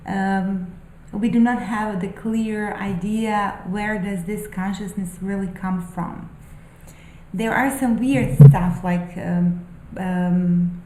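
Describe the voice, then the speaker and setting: A woman who speaks English as a second language, musing aloud about spiritual ideas in a halting, thoughtful way, with many pauses and fillers. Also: medium pitch, dark tone, roomy sound, slight background noise, poor audio. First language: not English. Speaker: spiritual vlogger. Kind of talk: unscripted musing monologue.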